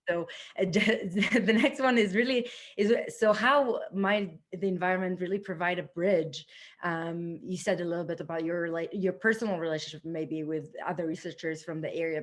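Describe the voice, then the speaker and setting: A woman from Israel with an Israeli accent, interviewing a guest in an enthusiastic, curious way. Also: on the low side. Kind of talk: conversational interview.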